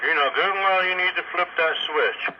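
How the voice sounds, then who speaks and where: A man's voice: fairly high pitch, a little fast. Folksy man on a muffled radio. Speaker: radio operator. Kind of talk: casual two-way radio chat. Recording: muffled noisy two-way radio. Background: radio static.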